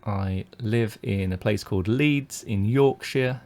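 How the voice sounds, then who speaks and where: A man's voice: lowish voice, takes it slowly, darkish tone. A British man, a poker coach, commenting casually on his own live-streamed tournament play and talking through each decision. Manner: thinks aloud with many fillers and restarts.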